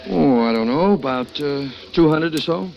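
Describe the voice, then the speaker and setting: A man's voice: fairly high pitch, expressive, normal speed, gravelly, noisy background. An actor playing a jealous husband who narrates his plans in the first person, in a 1940s radio drama. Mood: smooth flirting. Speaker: narrator and lead. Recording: dull old radio broadcast with music.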